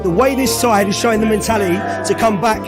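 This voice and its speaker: A man speaks in a high voice that is hoarse and worn down from cheering, and he gushes about his team with emotional conviction. Loud music plays behind him throughout. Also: fast. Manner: elated, hoarse from shouting. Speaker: football fan reacting. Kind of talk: excited fan reaction after a match.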